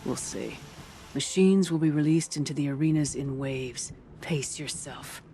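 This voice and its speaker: An actress playing a seasoned older huntress who trains hunters, wry and blunt, in video game dialogue. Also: female voice, low voice, measured pace, mellow, a little hiss or hum. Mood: wry, proud. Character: seasoned old huntress, wry trial keeper.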